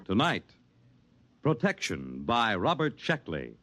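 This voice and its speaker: A man's voice: on the low side, wildly swooping pitch, slow pace, very noisy. A man announcing in dramatic 1950s radio style, grand and portentous. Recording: old radio broadcast with music.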